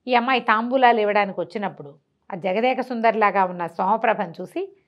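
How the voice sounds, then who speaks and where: A woman storyteller with a mid-pitched voice narrates an old tale as if chatting to a listener. She throws in rhetorical questions and voices the characters' dialogue herself. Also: a little fast, dull audio. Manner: conversational, asks rhetorical questions, acts out dialogue. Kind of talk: animated oral storytelling of a traditional tale.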